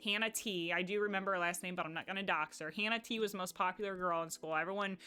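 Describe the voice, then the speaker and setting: A woman's voice: on the low side, steady tone, fast, bright, forceful tone, clean tone, soft background music. A young woman with a Midwestern accent talking casually to her stream chat in a rambling way, full of "like" and restarts. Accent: Midwestern American. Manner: chatty and rambling, heavy on "like" and restarts.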